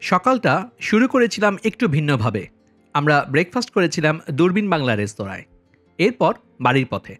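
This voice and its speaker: A man with a slightly high voice narrates a lifestyle vlog. He speaks politely and addresses viewers directly in measured phrases. The recording is fairly dry, with faint music underneath. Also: sing-song, a bit bright, no echo. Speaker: travel vlogger and host. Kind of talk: vlog voice-over narration with review commentary. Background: background music.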